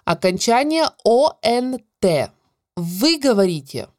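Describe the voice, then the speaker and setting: A woman teaching a French grammar lesson, explaining clearly and stretching out the example words. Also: a little high, big pitch swings, takes it slowly, darkish tone, no echo, clean studio sound. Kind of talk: scripted grammar lesson narration.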